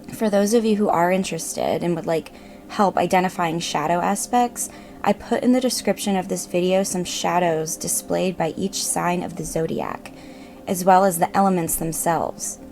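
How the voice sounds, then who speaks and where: A woman narrating a guided meditation in a gentle, measured, reassuring manner. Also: fairly low pitch, creaky. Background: meditative music.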